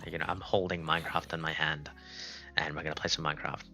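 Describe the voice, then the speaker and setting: A man's voice: a bass voice, flat delivery, quick pace, sharp tone. A man from South Africa with a South African accent, whose first language is Afrikaans, chatting casually and thinking aloud on a live game stream. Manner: rambling, thinking aloud, unscripted. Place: indoors at a laptop. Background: music playing.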